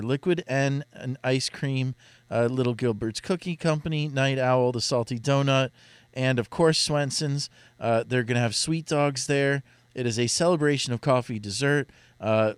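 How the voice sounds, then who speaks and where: A man's voice: mid-range voice, no echo. A man from Miami hosting a live radio talk show in a casual, jokey way. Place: radio studio.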